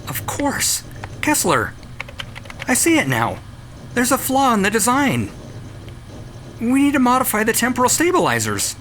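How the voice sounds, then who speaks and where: A man's voice: extremely high pitch, huge pitch swings, unhurried, pauses often, fairly bright tone, a little hiss or hum, voice pushed up, full character voice. A man playing an obsessive, defiant scientist in an audio drama, speaking with agitated insistence. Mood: feverish conviction. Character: obsessive, defiant male scientist, feverish and stubborn.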